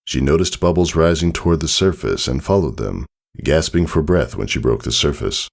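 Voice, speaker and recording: A man narrating a scripted story dramatically, in a solemn and measured manner. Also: a bass voice, animated, creaky. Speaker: fan fiction narrator. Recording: soft music behind the voice.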